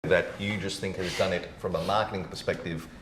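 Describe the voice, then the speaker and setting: A man's voice: low voice, steady tone, brisk. A man hosting a casual podcast interview in an informal, matey and opinionated way. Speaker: podcast host. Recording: echoey room with faint noise.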